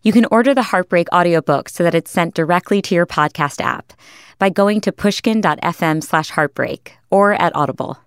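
A woman's voice: lowish voice, moderate pace, clean tone, no echo, studio quality. A woman podcast host reading a scripted introduction.

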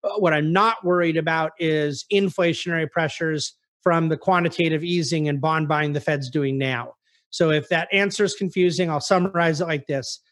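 A man's voice: fairly high pitch, steady tone, normal speed, fairly bright tone. A man speaking as an investment officer on a conference call, giving articulate, emphatic explanations in answer to investor questions. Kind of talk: conference call market commentary.

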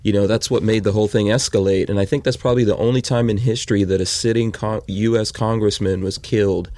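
A man's voice: on the low side, steady tone, quick pace, a little mellow, no echo. A man from Guyana chatting casually and joking as a podcast guest. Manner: easygoing, joking. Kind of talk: casual chat.

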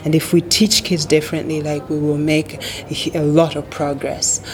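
Lively woman, lowish voice. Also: over music. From Tanzania. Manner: animated, quick laughs, many fillers. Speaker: edtech guest. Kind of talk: casual interview chat.

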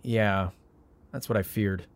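A man playing a frazzled, sarcastic young heir in an audio drama, with a wry, flustered, halting delivery. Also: lowish voice, steady tone. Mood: uneasy. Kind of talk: audio drama acting, naturalistic.

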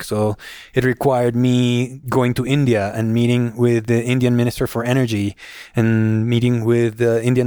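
A middle-aged man from Guatemala, a guest in an interview, telling his career story in a measured, steady way. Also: mid-range voice, dry recording, studio quality. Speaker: guest, nonprofit executive director. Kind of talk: conversational interview answer.

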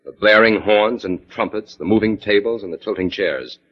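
A man acting in 1940s radio style as a calm, authoritative scientist detective, measured and dryly skeptical. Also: fairly low pitch, close to an everyday voice. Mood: calm, purposeful. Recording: dull vintage 1940s radio recording.

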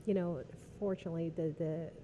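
A woman in her thirties from Vietnam, answering interview questions in a soft, hesitant way with many pauses. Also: on the low side, fairly even intonation, highs cut off. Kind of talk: casual interview talk. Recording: background noise.

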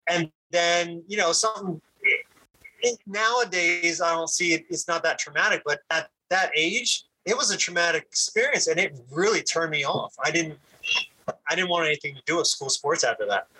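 Bright, husky-voiced man talking candidly. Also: fairly high pitch, rough recording. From Puerto Rican family. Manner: candid, halting with frequent restarts. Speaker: podcast guest. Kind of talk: casual conversation.

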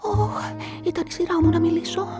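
An actress narrating a radio play in the first person as a nervous events manager, often dropping into confiding whispers. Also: female voice, extremely high pitch, steady tone, over music. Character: nervous, talkative female events manager caught up in a murder.

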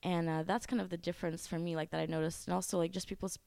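A young woman, Ukraine-born and raised in the Bay Area, talks quickly as a podcast guest. Her fairly low voice is smooth and clear but has a gravelly edge. Her easygoing chatter is packed with "you know" and "like.". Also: level tone, a little mellow, no echo. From Ukraine, raised in the San Francisco Bay Area. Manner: fast, easygoing, full of fillers like "you know". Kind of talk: casual interview chat.

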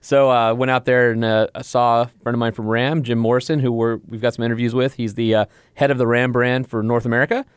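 A man co-hosting a podcast who teases his partner and talks in a lively, casual way. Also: mid-range voice, fast talker, few pauses, clear, resonant tone, no echo. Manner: teasing, upbeat. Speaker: podcast co-host, auto journalist. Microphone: headphones and studio mic.

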